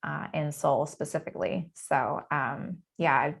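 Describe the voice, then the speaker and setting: An American woman hosting a casual interview chat, animated and laughing often. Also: low voice, measured pace, gravelly, husky. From United States. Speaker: host.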